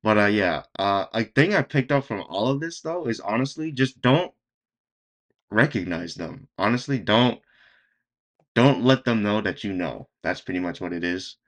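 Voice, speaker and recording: A man hosting a reaction video, making nonchalant, deadpan remarks with hesitant fillers. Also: lowish voice, normal speed. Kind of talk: casual reaction commentary.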